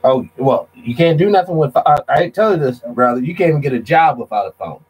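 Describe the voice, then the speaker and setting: A man's voice: mid-range voice, animated, brisk, darkish tone, husky, rough recording. A man hosting a casual talk show, speaking bluntly with slang. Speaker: host. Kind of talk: casual discussion.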